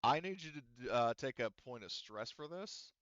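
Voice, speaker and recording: A man's voice: mid-range voice, lively intonation, normal speed, fairly bright tone, no room sound, lo-fi sound. A man running a tabletop roleplaying game as game master, casual and rambling with many fillers. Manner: rambling, lots of fillers and restarts.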